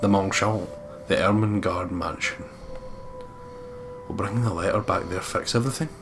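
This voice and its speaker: A man's voice: low-pitched, moderate pace, creaky. A man hosting a let's play, commenting casually and reading game text aloud in a jokey, sweary way. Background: game music.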